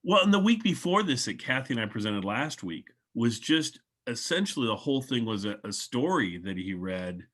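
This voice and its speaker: A man's voice: mid-range voice, sing-song, moderate pace, hardly any pauses, a bit bright. A man hosting a casual discussion, chatting informally with the other panelists. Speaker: host. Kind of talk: casual chat.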